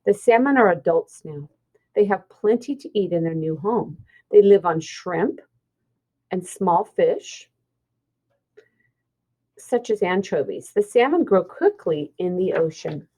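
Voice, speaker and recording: A woman's voice: medium pitch, mellow, poor audio. A woman teacher reading a children's science book aloud, explaining and pointing things out simply.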